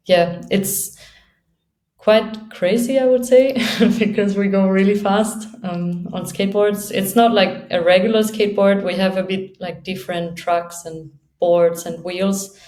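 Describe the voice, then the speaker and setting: A woman's voice: medium pitch, fairly even intonation, a little slow, darkish tone, echoey room. A young woman from Austria with an Austrian accent, an athlete talking casually in an interview and laughing often between phrases. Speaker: guest, downhill skateboarder.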